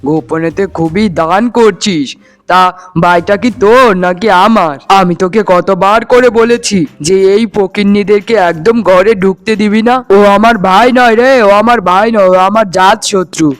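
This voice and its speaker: A man voicing a cruel, quick-tempered uncle in a cartoon, scolding and sneering with contempt. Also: high-pitched, natural voice. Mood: angry, contemptuous. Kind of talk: cartoon voice acting. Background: faint music.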